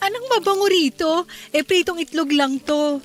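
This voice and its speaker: A sweet bashful young wife. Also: female voice, extremely high pitch, unhurried, soft background music. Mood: tender. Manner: sweet, shy, giggly. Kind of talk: radio drama acting.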